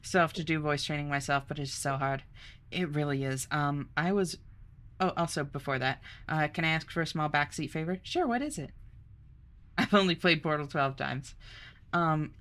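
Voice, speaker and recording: A young woman streamer chatting casually, reading chat aloud, bubbly and quick to laugh. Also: deep voice, fairly bright tone.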